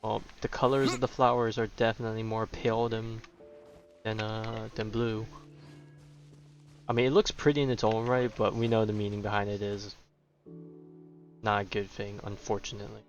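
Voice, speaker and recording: A man does casual, chatty livestream commentary on a video game. His voice sits fairly low, and the sound is a little dull, with game music underneath. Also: flat delivery, moderate pace, long pauses. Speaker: game streamer. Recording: slightly dull, lo-fi mic.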